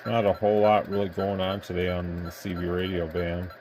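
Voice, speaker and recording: A man's voice: deep voice, monotone, a little fast, background noise. An American man giving a casual hands-on product review, explaining things in a rambling, matter-of-fact way. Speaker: radio shop owner and product reviewer.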